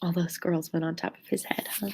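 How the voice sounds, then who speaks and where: A woman reading a children's story aloud expressively, with theatrical, drawn-out emphasis on key words. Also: lowish voice, fairly even intonation, vocal fry, a little mellow, slight background noise. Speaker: storybook reader.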